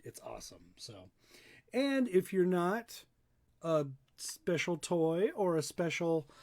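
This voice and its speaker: A man's voice: high voice, darkish tone. A man hosting a casual online storytime for kids, chatting in a rambling, folksy, playful way. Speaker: storytime host, local dad.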